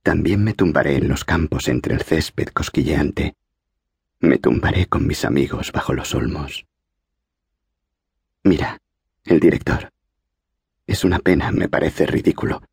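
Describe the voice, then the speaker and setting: A man's voice: extremely low pitch, measured pace, vocal fry, long pauses, a little mellow, slightly rough, no room sound, clean studio sound, full character voice. A man voicing an earnest, solemn schoolboy in an audiobook, speaking in a grand and reverent way. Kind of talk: audiobook character reading.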